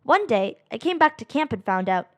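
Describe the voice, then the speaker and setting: Very high, lively woman reading to children. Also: no echo. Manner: animated, dramatic emphasis with drawn-out phrases. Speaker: read-aloud narrator of children's books. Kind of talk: expressive children's picture book read-aloud.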